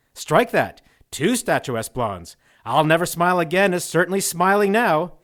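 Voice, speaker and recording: A man playing a gushing, breathless 1940s radio announcer reporting from a red carpet. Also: sits high.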